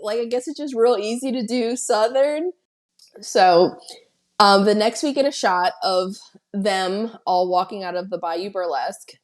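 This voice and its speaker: A woman's voice: mid-range voice, unhurried. Chatty woman podcast host recapping a film. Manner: chatty, retells scenes with excited quoted asides. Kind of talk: casual film-recap chat.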